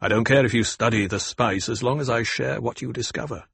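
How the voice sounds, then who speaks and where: A man voicing a calm, shrewd noble duke in an audiobook, with measured, courteous authority. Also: medium pitch, vocal fry, slight background noise. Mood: probing, cool. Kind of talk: audiobook character acting.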